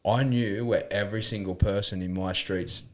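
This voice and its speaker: A man's voice: lowish voice, fairly even intonation, moderate pace. A young man, a podcast host telling stories in slang and often laughing as he talks. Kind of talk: casual chat.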